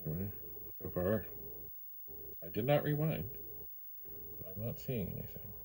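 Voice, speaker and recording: A man with a deep, creaky, mellow voice gives an off-the-cuff demo as a vlog host. He muses in a halting way and often repeats his own phrases. Faint room noise sits behind him. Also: expressive. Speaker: retro computer vlogger, host. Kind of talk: unscripted hands-on demo commentary.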